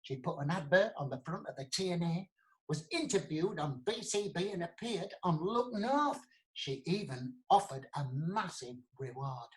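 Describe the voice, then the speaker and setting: A man's voice: fairly high pitch, animated, moderate pace, rough recording. A man telling a comic pantomime tale, dramatic and speaking straight to the listener. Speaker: storyteller. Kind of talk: theatrical comic pantomime storytelling.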